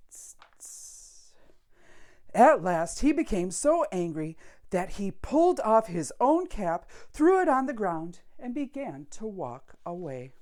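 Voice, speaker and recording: A woman storyteller reads a children's picture book at an unhurried pace. Her pitch sits mid-range but moves a lot, with deliberate dramatic pauses. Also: a little mellow.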